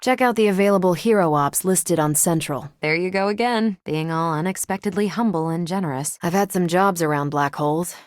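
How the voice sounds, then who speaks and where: A woman playing a confident, wry and brisk superhero soldier in a video game, with a casual, assertive way of talking. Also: fairly low pitch, dry recording, lightly acted. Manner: casual, dry teasing, assertive. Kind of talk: video game character dialogue.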